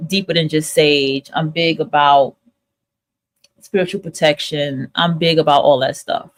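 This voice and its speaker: A woman's voice: low voice, steady tone, unhurried. A woman host talking casually and candidly to her listeners in an emphatic, repetitive, motivational monologue, swearing freely. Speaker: host of a spiritual advice livestream.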